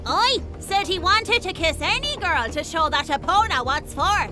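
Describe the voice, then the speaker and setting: A woman's voice: extremely high pitch, animated, normal speed, music in the background. A woman voicing a blunt, greedy little furry horned boy in a fantasy audio drama, with a childish, tattling delivery. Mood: smug.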